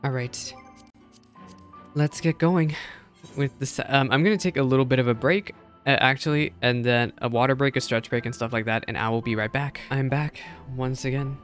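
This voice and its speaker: A man's voice: medium pitch, steady tone, moderate pace, a bit bright, faint music behind. A man giving casual, informal and reactive commentary as he streams a game. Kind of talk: casual gameplay commentary, reading dialogue aloud.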